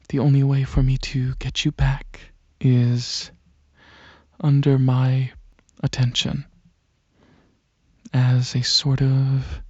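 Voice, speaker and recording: A man playing a gentle dragon alien prince in a soft-spoken ASMR roleplay, talking to the listener in a hesitant, soothing way with many pauses. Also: mid-range voice, slightly rough, no room sound, dull audio. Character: gentle dragon alien prince, centuries-old royal researcher, softly coaxing.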